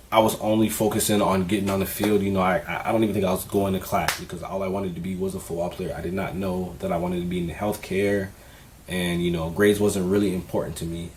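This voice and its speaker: A man in his twenties vlogging casually, candid and relaxed, with plenty of fillers as he talks through his experiences. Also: low voice, slight background noise. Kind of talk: casual vlog advice.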